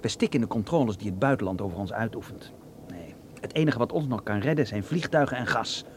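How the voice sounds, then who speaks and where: An actor playing a bitter, fervent young German nationalist who lectures in a radio drama. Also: male voice, fairly low pitch, lively intonation, quick pace. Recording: noisy radio drama recording.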